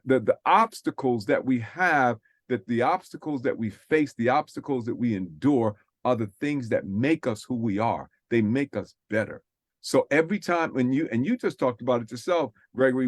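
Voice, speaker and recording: A man's voice: medium pitch, animated, moderate pace, no echo, clean studio sound. A man from New City, hosting a radio faith talk, earnest and preacherly, repeating his phrases for emphasis. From New York City. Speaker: radio show host.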